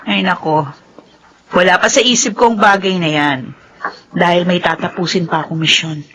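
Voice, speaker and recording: A woman's voice: on the low side, normal speed, fairly bright tone, a little hiss or hum, lightly acted. An actress playing a vengeful, defiant young woman in a Filipino radio drama, with a Filipino accent. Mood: annoyed.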